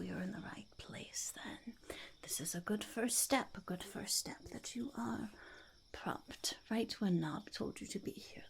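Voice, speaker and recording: A woman's voice: mid-range voice, gravelly, slight background noise. A woman voicing a fussy, impatient goblin boss in a whispered ASMR roleplay, muttering and repeating words. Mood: businesslike, eager. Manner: speaks of self in third person, repeats words, mutters approval.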